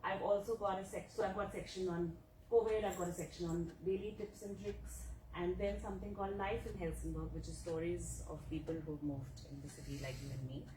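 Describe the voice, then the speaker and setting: A woman's voice: on the low side, steady tone, a little fast, warm tone. Chatty woman presenting in an echoey room. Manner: chatty, with fillers and asides. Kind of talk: casual talk at a welcome event. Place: office meeting room. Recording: echoey room, mic far off, background noise.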